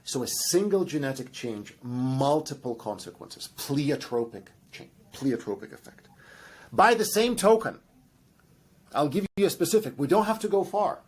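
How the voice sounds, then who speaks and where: Husky-voiced man with a Russian accent; eager professor explaining science, restarts often. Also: on the high side, lively intonation, normal speed. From Russian by ancestry. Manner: lecturing, enthusiastic, frequent restarts and fillers. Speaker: genetics professor guest. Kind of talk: explanatory interview answers.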